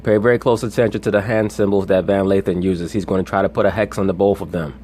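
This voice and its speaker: A man from the United States giving a monologue commentary on video clips, deliberate and lecturing, with a preacher-like cadence. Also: low voice, level tone, noisy background.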